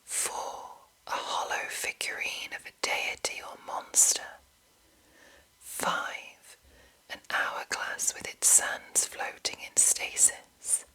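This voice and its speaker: Woman whispering slow, breathy ASMR. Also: rough recording. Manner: slow, hushed, deliberate enunciation. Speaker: ASMR host. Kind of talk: whispered ASMR reading of a fantasy game monster manual.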